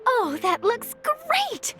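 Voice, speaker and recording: An excitable young woman from a video game. Her voice is extremely high and swings widely, bubbly and expressive in every phrase. Music is clearly audible behind her. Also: extremely slow, exaggerated voice. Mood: cheerful. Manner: animated, expressive. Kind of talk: scripted video game voice acting.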